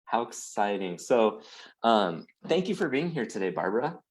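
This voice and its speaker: A man's voice: on the low side, very animated, moderate pace. A man hosting a casual online interview show. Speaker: host of a weekly chat show.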